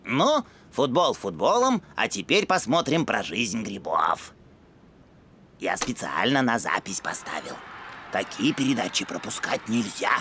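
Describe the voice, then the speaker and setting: An actor voicing a brainy, excitable, bookish boy inventor in an animated cartoon. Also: mid-range voice, measured pace, background noise. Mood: prim. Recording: music under the voice.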